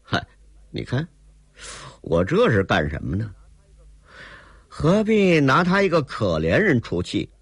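A male storyteller voicing a proud, quick-tempered young gentleman who talks brusquely. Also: medium pitch, wildly swooping pitch, breathy edge, no echo, pitched above the performer's own voice, full character voice. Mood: scoffing. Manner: brusque, scoffing.

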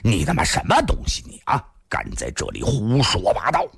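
A man's voice: fairly low pitch, huge pitch swings, normal speed, creaky, husky, no echo, clean studio sound, heavily acted. A man voicing a huge, crude strongman in an audiobook tale, coarse and blustering. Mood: angry.

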